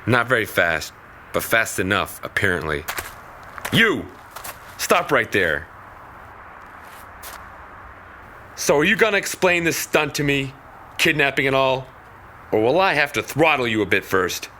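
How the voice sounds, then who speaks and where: A man's voice: mid-range voice, big pitch swings, normal speed, sharp tone, a little hiss or hum. An actor playing a stern, protective young man in an audio drama, terse and commanding. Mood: threatening, commanding.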